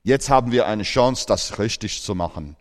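A man's voice: lowish voice, lively intonation, normal speed, big-room sound. A man born in Australia preaching a sermon in German, earnest, with grammar slips.

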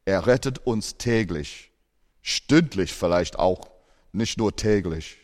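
A man's voice: low voice, lively intonation, takes it slowly, darkish tone, big-room sound. A man born in Australia preaching a sermon in German, earnest, with grammar slips.

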